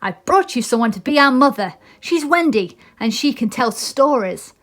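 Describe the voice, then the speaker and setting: Lady doing a cocky boy hero from a storybook, brisk, confident, a bit raised in pitch. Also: expressive. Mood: cheerful. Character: bold, cocky boy hero who never grows up.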